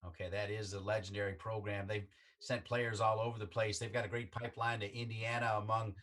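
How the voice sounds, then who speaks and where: A man's voice: fairly low pitch, level tone, fairly quick, a bit bright. A man hosting a casual sports interview, chatty and upbeat as he asks questions. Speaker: interview host.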